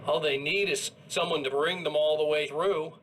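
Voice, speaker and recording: A man's voice: a little high, steady tone, noisy background, lightly acted. An actor playing a gleeful, menacing evil doppelganger in a video game cutscene, taunting and drawing out his words in mockery. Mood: gloating. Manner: taunting, drawn-out and mocking.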